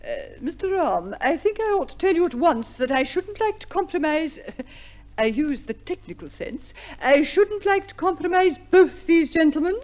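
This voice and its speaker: A woman's voice: extremely high pitch, lively intonation, normal speed, a little mellow. An actress playing a prim, chatty lady of affected refinement in an old radio comedy play. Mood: fussy, dignified. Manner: affected refinement, coy, garrulous. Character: genteel, fussy, chatty professional divorce co-respondent lady, prim. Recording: vintage radio play recording.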